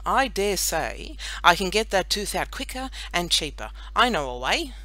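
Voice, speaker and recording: A woman's voice: fairly low pitch, very animated, normal speed, bright, forceful tone, no echo, low-quality recording. A woman voicing a cocky, scheming little farm boy, boastful and eager, in a children's audiobook. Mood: eager, confident.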